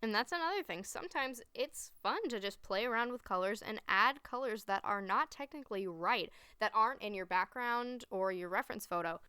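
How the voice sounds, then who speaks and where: A woman giving a relaxed voice-over, explaining her painting steps as she thinks aloud. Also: fairly high pitch, fairly quick, hardly any pauses, smooth, dry recording, studio quality. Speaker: art instructor. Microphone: voice-over recorded separately.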